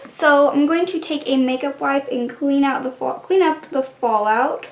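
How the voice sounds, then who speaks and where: A woman with a high voice and a slightly stuffy, congested tone talks her way through a makeup routine. She chats loosely from one step to the next, and the room around her rings with echo. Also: slow, a little hiss or hum. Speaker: beauty vlogger. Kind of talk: casual makeup try-on narration.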